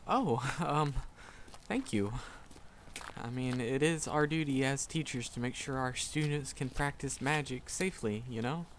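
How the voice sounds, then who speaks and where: A man acts out a bashful male magic professor in an intimate roleplay drama. His medium-pitched voice moves slowly and haltingly, full of nervous stammers and self-conscious rambling. A slight background noise sits under the recording. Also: lightly acted. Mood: shy, friendly. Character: shy, flustered male magic professor with a secret crush. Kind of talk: roleplay audio drama, intimate one-sided dialogue.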